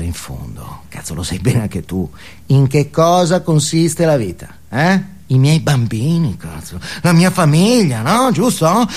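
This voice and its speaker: A male stage actor playing a ranting, self-justifying, foul-mouthed family man in a satirical comic monologue, agitated and forever tagging his sentences with questions. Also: a little high. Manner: agitated, swearing, punctuates with "no?" and "eh?".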